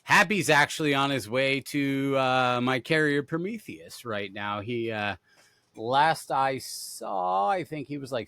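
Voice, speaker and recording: Chatty man, upbeat and bright. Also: medium pitch, no echo. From Denver, USA. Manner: rambling, upbeat, lots of fillers and restarts. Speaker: podcast host. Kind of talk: casual gaming podcast interview.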